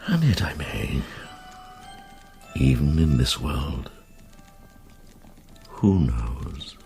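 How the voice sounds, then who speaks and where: A worldly old monk with an extremely low, creaky voice. He is a gruff former soldier with a tender streak, reflective and a little halting, in a radio play. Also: lively intonation, a little mellow, faint music behind, heavily acted. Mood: tender, proud. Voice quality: gravelly. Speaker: lead actor in a radio drama. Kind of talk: radio drama acting.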